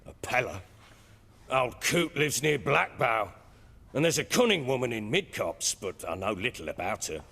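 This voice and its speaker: Guarded village man, crackly and husky, bright edge, long reverb. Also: mid-range voice, very animated, normal speed, heavily acted.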